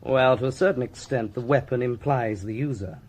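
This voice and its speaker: An actor playing a British forensic doctor in a radio drama, lecturing with precise, self-satisfied relish. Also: male voice, medium pitch, normal speed, darkish tone, natural voice. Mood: relishing, didactic. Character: brisk, relishing male forensic doctor, theatrically pedantic.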